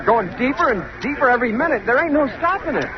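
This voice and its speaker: Very high-voiced nervous bystander, old radio. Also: male voice, animated, fast. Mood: uneasy. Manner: colloquial, blunt. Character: nervous, fatalistic ordinary man in a crowd. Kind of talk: old-time radio drama acting. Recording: muffled old radio, crowd behind.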